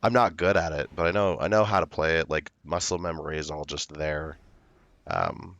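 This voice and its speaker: A young man streaming a game, with a hoarse voice from illness, chatting slowly and loosely. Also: very deep voice, a bit bright, muffled recording. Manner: slow, rambling, laid-back talk. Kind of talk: casual livestream chat.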